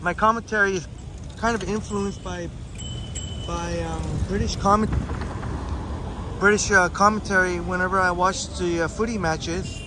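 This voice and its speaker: A man's voice: high voice, steady tone, normal speed, long pauses. A man hosting a walking livestream, rambling casually and reading out viewer comments from the chat. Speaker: livestream host. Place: outdoors on city streets near a lake. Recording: wind noise on the mic outdoors.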